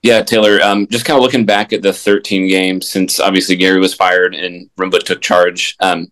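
A man's voice: low voice, level tone, normal speed, a bit bright. A male sports reporter asking a hesitant question full of fillers.

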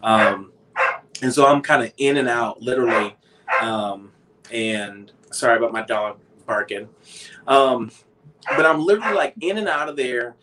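A man's voice: mid-range voice, expressive, normal speed. An American man in his thirties telling a casual story expressively, with emphatic repetitions, as a guest on a video call. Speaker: interview guest, cleaning company owner. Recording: noisy video call with clicks.

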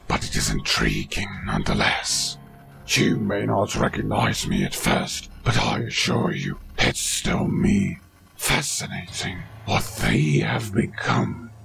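A man playing a scornful, murderous undead animatronic rabbit with a gravelly, menacing delivery for a horror game reel. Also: rumbling low, wildly swooping pitch, measured pace, over music, exaggerated voice. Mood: sinister, intrigued. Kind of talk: fan-made horror game character reel.

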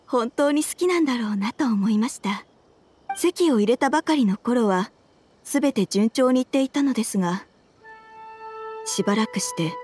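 Game role: woman as troubled wife and mother, higher-pitched, measured, courteous and earnest. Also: a little mellow, natural voice. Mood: troubled, recounting. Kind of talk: video game voice acting.

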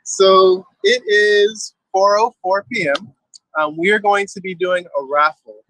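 A man's voice: sits high, a little slow, no echo, rough recording. A man, casually hosting an event outdoors in a garden and speaking into a handheld phone. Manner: relaxed, filler-heavy. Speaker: garden host. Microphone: phone held at arm's length.

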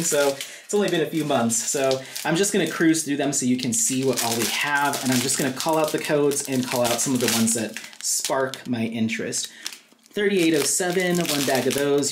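A woman giving a casual, chatty unboxing commentary, thinking aloud as she goes at a craft table. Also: deep voice. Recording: roomy, echoey sound with background noise. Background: crinkling plastic bags, clicks.

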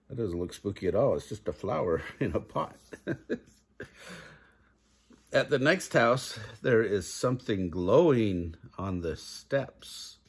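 A middle-aged man with a husky voice reading a children's storybook aloud, with casual asides and questions to the young listeners. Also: lowish voice, lively intonation, slow, pauses often. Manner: chuckles often, asks the listening children questions. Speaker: grandpa reading picture books aloud for kids. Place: living room, indoors.

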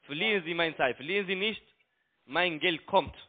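A man's voice: a little high, normal speed. A man with a foreign accent, not a native German speaker, arguing defensively and repetitively as a phone caller, in broken grammar. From outside Germany. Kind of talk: argumentative phone conversation. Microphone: telephone. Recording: tinny narrowband phone line.

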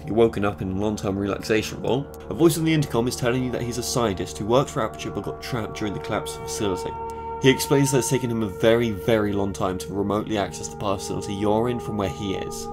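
An eighteen-year-old man with a British accent, narrating his game-map devlog in a sarcastic, self-mocking way, filmed in a small bedroom with a desk mic. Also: lowish voice. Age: late teens. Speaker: game mod creator. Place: small bedroom with purple light.

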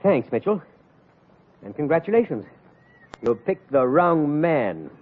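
An actor playing a smug, cold-blooded impostor villain in an old-time radio thriller, taunting with mock politeness. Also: male voice, fairly high pitch, big pitch swings, measured pace. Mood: gloating. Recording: muffled, noisy old radio recording.

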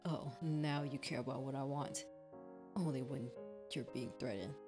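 A woman's voice: a bass voice, moderate pace, over music. A woman voicing a bitter, menacing young male killer from a visual novel, cold, accusing and controlling.

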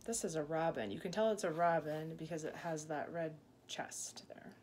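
A woman in her thirties reading a picture book aloud to young children, gently explaining and asking questions as a teacher does. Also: low-pitched, normal speed. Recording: clear background room noise.

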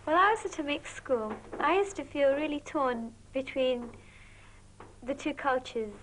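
High-voiced British teenage girl. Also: measured pace, a bit bright, a little hiss or hum, rough recording. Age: teens. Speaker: student interviewee. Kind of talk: informal interview answer. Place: small indoor classroom.

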